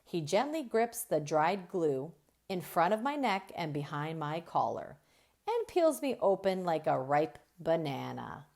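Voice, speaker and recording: A woman reading a children's book aloud as a storyteller, expressive and deliberate, drawing out key words. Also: sits low, gravelly. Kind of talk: expressive read-aloud narration.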